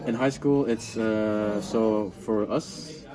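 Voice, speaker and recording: A young man with a Flemish accent and a lowish voice explains local things in a loose, chatty way, dotting his speech with "like" and "uh". Loud music plays behind him. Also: fairly even intonation, slow pace, rough recording. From Flemish part of Belgium. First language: Dutch. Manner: chatty, frequent "like" and "uh" fillers. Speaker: local guide. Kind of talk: casual explaining chat.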